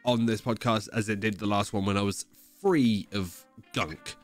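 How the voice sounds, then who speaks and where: A man's voice: on the low side, steady tone, normal speed, faint music behind. A man with a cold, stuffy and sore-throated, hosting a casual podcast chat, explaining things emphatically with plenty of swearing. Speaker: podcast host.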